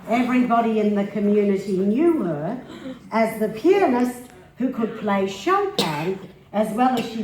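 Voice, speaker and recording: A woman giving an expressive dramatic reading of a memoir to a live gathering. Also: mid-range voice. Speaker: stage reader. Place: live literary event in a hall. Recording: echoey hall, faint background noise.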